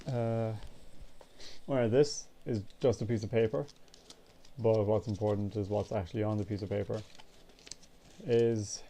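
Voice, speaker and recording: Stuffed-up guy in his 20s, low dark gravelly voice, rambling and swearing a bit. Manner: rambling, relaxed, casual swearing. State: congested, sniffly. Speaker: vlogger. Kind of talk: casual chat.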